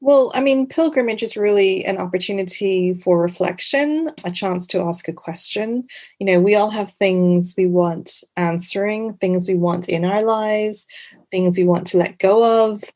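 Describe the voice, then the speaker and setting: A woman who grew up in Montreal talks reflectively as an interview guest. Her voice sits at a middle pitch, and she is earnest and halting, often circling back to rephrase her thoughts. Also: a little mellow. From Montreal, Canada. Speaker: interview guest, author and journalist.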